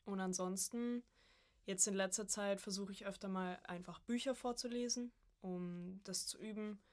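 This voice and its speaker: A woman's voice: medium pitch, steady tone, moderate pace, a little mellow. A young woman chatting casually as a guest on a podcast, a student of voice acting.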